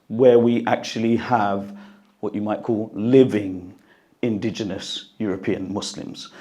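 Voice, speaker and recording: A man with a croaky, strained voice speaks to an audience at a book launch, then reads an excerpt, earnest and reflective, with the odd cough. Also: mid-range voice, mellow. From United Kingdom. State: croaky voice, recovering from losing it. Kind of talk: talk and reading from his book. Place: hall at a gathering, in front of an audience. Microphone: handheld microphone.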